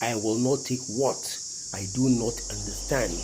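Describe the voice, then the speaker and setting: A man's voice: medium pitch, steady tone, unhurried, noisy background, natural voice. A man voicing a wary male hunter in a folktale, earnest and questioning. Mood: resolute.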